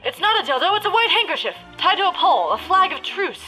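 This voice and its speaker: A woman's voice: extremely high pitch, big pitch swings, fast talker, pushed and bright, exaggerated voice, rough recording. A woman playing an earnest, increasingly alarmed radio field reporter, giving dramatic live commentary in a parody of old radio drama. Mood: tense wonder. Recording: music behind the voice.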